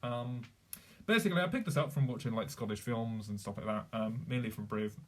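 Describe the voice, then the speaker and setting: A man's voice: medium pitch, fairly quick. A young man chatting casually on a live stream, rambling, swearing freely and laughing often. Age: young adult.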